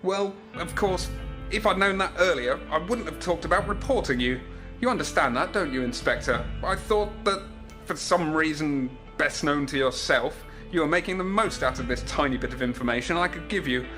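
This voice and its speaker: A man's voice: on the high side, animated, moderate pace, bright, forceful tone, faint music behind. A man playing a pompous, indignant businessman father who justifies himself brusquely in an amateur radio drama. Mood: wary, placating.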